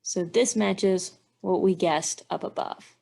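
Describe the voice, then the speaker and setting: A woman's voice: lowish voice, fairly even intonation, slow pace, vocal fry, mellow. A woman engineering instructor giving a methodical, step-by-step walkthrough, thinking aloud as she works through math.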